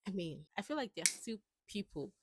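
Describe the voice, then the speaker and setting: A woman's voice: medium pitch, big pitch swings, brisk. A woman giving casual reaction commentary, emphatic and fond of repeating her phrases. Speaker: video commentator.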